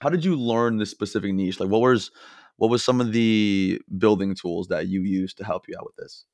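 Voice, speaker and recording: A woman hosting a podcast, asking relaxed, friendly questions and laughing easily. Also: very deep voice, moderate pace, dry recording. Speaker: podcast host. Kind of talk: casual interview questions.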